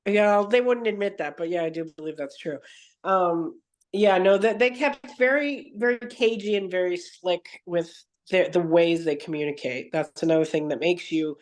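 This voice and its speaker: A woman's voice: fairly low pitch, normal speed, a little mellow. Earnest woman telling her story in an interview. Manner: earnest, digressive, frequent self-corrections. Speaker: podcast guest.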